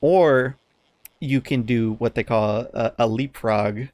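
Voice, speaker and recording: Male podcast host. Mid-pitched, informal, halting storyteller, dry room sound. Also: a little mellow, no echo. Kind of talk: casual podcast chat.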